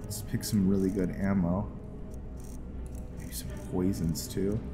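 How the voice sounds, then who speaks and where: A man giving casual gameplay commentary with some roleplay narration, muttering to himself in a halting, low-key way. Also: steady tone. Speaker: gaming video host. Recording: music behind the voice.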